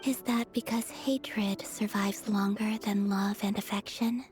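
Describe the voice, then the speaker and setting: A woman voicing a gentle, enigmatic, pensive young woman in a video game, with soft and wistful delivery. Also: medium pitch, steady tone, measured pace, close to an everyday voice. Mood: curious, gentle. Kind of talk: dramatic video game dialogue. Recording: faint game music behind.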